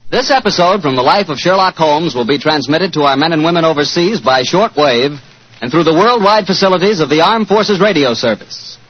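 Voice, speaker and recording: Lively American vintage radio announcer, a man. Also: a little high, brisk, husky. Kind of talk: 1940s radio announcing and sponsor reads. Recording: dull lo-fi 1940s radio recording.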